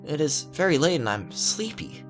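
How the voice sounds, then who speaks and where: Innocent little girl diarist played by a man, pitched up, earnest, visual novel read with guitar music behind. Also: very animated, normal speed. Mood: sleepy, uneasy. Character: innocent little girl reading out her diary.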